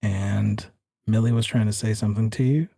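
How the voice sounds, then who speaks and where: A man's voice: fairly low pitch, fairly even intonation, moderate pace, creaky, warm tone, no echo, studio quality. A man hosting and narrating a horror tabletop game as game master, with a suspenseful storytelling delivery. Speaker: game master and show host.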